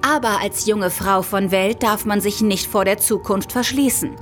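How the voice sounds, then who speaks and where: Radio play lead: actress as worldly young female smuggler, wry, confiding, medium pitch, faint music behind. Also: moderate pace, close to an everyday voice. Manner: wry, self-assured, confiding. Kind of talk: first-person radio play narration.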